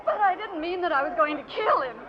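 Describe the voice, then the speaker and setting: A woman's voice: very high-pitched, animated, fast, crowd noise. An actress playing a distraught, defiant young woman accused of murder, pleading emotionally in 1940s radio melodrama style. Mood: upset, defiant. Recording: muffled, faintly noisy old radio recording.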